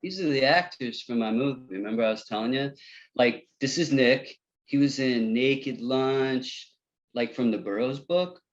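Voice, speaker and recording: A man's voice: medium pitch, normal speed, lo-fi sound, lightly acted. An actor at a table read playing a breezy, chummy male movie director, slangy and eager to seem cool. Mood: showing off, excited.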